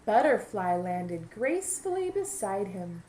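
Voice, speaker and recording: A woman's voice: mid-range voice, very animated, a little slow, dark tone, a little hiss or hum. A woman, a kindergarten teacher, reading a children's story aloud and adding teaching asides.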